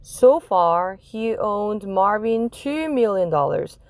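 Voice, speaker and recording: A woman's voice: medium pitch, lively intonation, takes it slowly, slight background noise. A woman narrating a children's chapter book as a read-aloud. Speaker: storybook reader.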